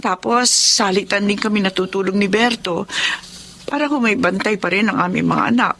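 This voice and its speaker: A woman's voice: medium pitch, moderate pace, vocal fry, fairly bright tone, a little hiss or hum. An actress playing a poor, homeless mother in a Filipino radio drama, speaking in a plaintive, polite, emotional way. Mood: humble sorrow. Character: sorrowful, poor homeless mother, humble and weary.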